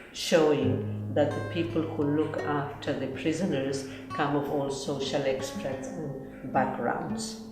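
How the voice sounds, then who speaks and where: Her voice sits low for a woman and carries a Chilean accent from her native Spanish. She explains her subject deliberately, like a curator presenting pieces in an interview. The room adds noticeable echo, and guitar music plays behind her. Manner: deliberate, explanatory, non-native English phrasing. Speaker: textile collection curator and interviewee.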